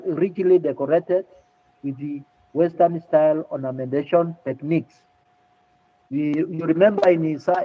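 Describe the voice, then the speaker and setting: A man lecturing to students, explaining things deliberately and repeating key points. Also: on the high side. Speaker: music lecturer. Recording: tinny phone-like audio.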